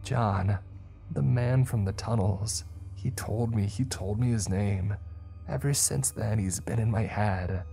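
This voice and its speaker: Guy doing a scared guy losing his mind, crying and pleading, voice way higher, horror story, music faintly behind. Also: slow, gravelly, dark tone. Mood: tormented, pleading. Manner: pleading, choked through sobs. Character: terrified, sobbing man tormented by an entity in his head.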